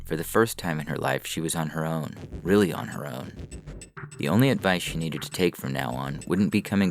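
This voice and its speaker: A man with a gravelly, creaky voice narrating a scripted science fiction story. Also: rumbling low. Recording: clear background noise with clicks.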